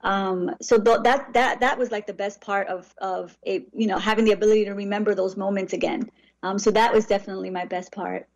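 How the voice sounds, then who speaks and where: Relaxed reflective woman guest. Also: medium pitch, fairly quick. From New York. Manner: relaxed, reflective, frequent restarts. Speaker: author and guest. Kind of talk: casual interview answers.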